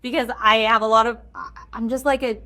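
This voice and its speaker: A woman's voice: fairly high pitch, fairly even intonation, fairly quick, pushed and bright. A woman speaking casually as an interview guest, informal and thinking aloud as she goes. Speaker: game writer, interview guest. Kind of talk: casual chat.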